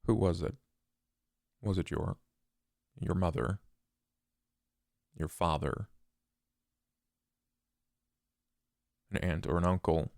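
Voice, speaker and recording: A man voicing a cold, controlled killer in a tabletop role-play, questioning in a deliberate, formal and menacing way. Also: low voice, gravelly, darkish tone, no echo, studio quality. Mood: probing, solemn. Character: cold, controlled male killer interrogating his copycat.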